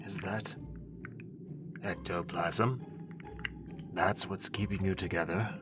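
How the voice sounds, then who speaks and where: A proud, trapped sorcerer, voiced by a man, who speaks in a very deep, unhurried voice. He orders and bargains in the same haughty tone. Music runs under him. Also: fairly even intonation. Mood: bargaining. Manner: imperious, bargaining. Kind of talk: audio drama acting. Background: background music.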